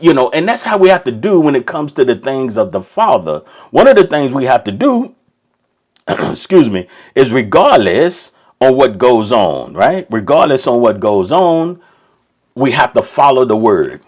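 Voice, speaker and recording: A man preaching an informal sermon, rambling with many restarts and checking in with "right?". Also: medium pitch. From Kentucky.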